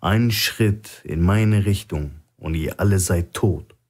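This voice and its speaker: Masked gunman for thriller audiobook, male narrator acting: low, dark, coarse, threatening. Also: slow pace. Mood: gruff, scornful. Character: menacing, nervous masked male gunman guarding hostages.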